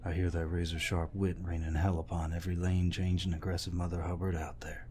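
An actor playing a gruff, protective older war veteran in an intimate audio roleplay, deep-voiced, tender and teasing. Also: male voice, level tone, fast talker, mellow, a little hiss or hum, close to an everyday voice.

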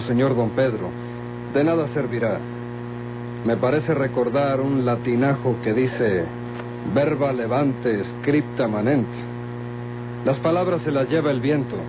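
Guy acting as stern mexican investigator, measured n formal, old radio serial, muffled and noisy. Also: medium pitch, natural voice. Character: calm, stern, suspicious male lawman. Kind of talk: Mexican golden-age radio drama acting. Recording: muffled vintage radio, loud hum and noise.

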